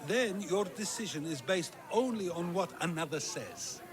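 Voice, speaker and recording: Lively philosopher, higher-pitched. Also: male voice. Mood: probing, rhetorical. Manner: measured, questioning, rhetorical. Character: Socrates, wise wry ancient Greek philosopher, persuasive orator. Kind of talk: theatrical video game dialogue. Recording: music behind the voice.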